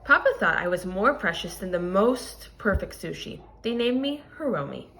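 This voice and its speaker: A woman reading a children's picture book aloud like a teacher, expressive and deliberate, stressing key words and adding explanatory asides. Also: medium pitch.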